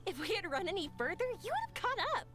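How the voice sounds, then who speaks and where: A woman's voice: very high-pitched, very animated, fast talker. A bubbly cheerful young woman. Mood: playful. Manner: bubbly, encouraging. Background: game music.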